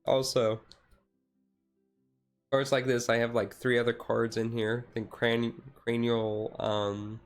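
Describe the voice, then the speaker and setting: A man's voice: medium pitch, flat delivery, slow, pauses often. A man streaming a casual, laid-back ASMR chat in a rambling way, with music behind him.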